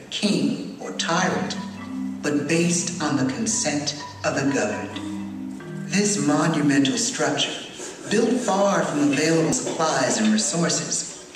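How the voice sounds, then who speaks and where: American male documentary narrator, mid-pitched, grave and measured, recorded very echoey under loud music. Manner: solemn, measured, dramatic delivery. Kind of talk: scripted historical narration. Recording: very echoey large hall, distant, music behind.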